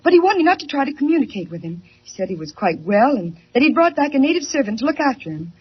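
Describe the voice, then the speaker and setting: A woman's voice: sits high, big pitch swings, fast talker. An actress playing an anxious, polite young woman with a hesitant, stammering delivery in a 1940s style radio drama. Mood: worried. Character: anxious, well-mannered young daughter of an explorer. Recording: muffled old radio recording.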